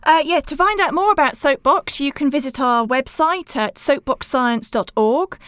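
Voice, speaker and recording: A woman's voice: sits high, normal speed, a bit bright, no room sound, clean studio sound. A woman from Wales giving articulate, explanatory answers as an interview guest on science outreach. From rural Wales.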